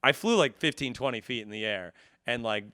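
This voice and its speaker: Bright, clear-voiced male comedian telling stories. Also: mid-range voice, brisk, dry recording, studio quality. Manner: laughs through his stories, acts out dialogue; self-deprecating, profane. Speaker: comedian, podcast guest. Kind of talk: animated comedic storytelling, casual chat.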